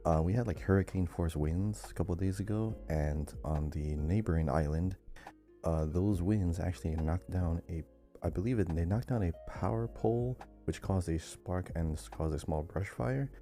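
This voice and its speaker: Man, low-pitched, very dark mellow tone, casual podcast co-host. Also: moderate pace, faint music behind. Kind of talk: casual conversational podcast chat.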